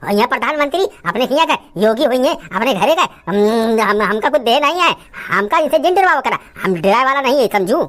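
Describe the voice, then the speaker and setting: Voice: mid-range voice, animated, a little fast, few pauses, fairly bright tone, close to an everyday voice. A performer voicing a cantankerous, lazy village uncle in an Awadhi comedy cartoon, scolding and rambling with stubborn, abusive bluster. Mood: grumbling. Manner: blustering, scolding, rambling rants full of abuse.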